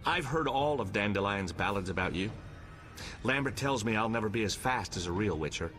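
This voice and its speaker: An actor playing an earnest, eager young apprentice warrior in a fantasy video game, with a boyish manner. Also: male voice, fairly low pitch, animated, brisk, a bit bright, soft background music. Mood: eager, proud.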